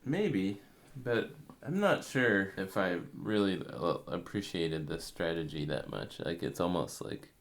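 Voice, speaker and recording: A man's voice: low-pitched, expressive, measured pace. A man giving his opinion as a podcast panelist in casual conversation. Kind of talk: casual chat.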